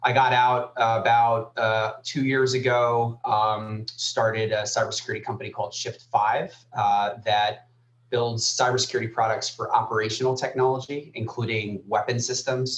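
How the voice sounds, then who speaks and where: A man from the United States, a guest on a podcast, speaking thoughtfully with halting pauses and many fillers. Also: fairly low pitch, a bit bright, echoey room, poor audio. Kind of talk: casual podcast conversation.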